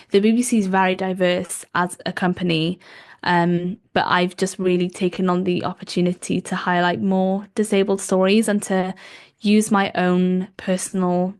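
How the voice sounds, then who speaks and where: A woman's voice: medium pitch, little pitch movement, moderate pace. A young woman in her twenties from Wales with a Welsh accent, her first language being Welsh, chatting as a podcast guest with lots of ums and likes. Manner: chatty, warm laughs, frequent ums and likes. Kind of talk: casual interview chat.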